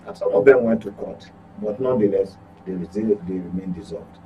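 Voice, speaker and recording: A man's voice: low-pitched, moderate pace, distant mic, lo-fi sound, slight background noise. A man with a Nigerian accent giving political commentary, often repeating and correcting his words as he goes. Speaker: current affairs commentator.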